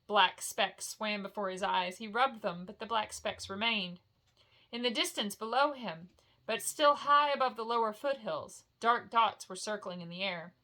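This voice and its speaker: Female storyteller: bright voice, expressive fantasy narration, homely and informal, like a bedtime read-aloud. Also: medium pitch, normal speed. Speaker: storyteller reading a novel aloud.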